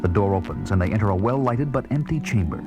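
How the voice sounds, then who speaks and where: A man's voice: on the low side, expressive, fast. A man reading scripted narration for an audio drama, telling the story as it happens. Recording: loud music behind narration.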